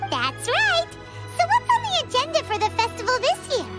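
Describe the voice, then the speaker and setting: A tiny female fairy sidekick from a game, chirping in a very high, bouncy voice that leaps all over the place. She is excitable and curious, with game music playing behind her. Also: moderate pace, exaggerated voice. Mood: cheerful. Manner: bubbly, exclamatory, curious. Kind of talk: game cutscene voice acting.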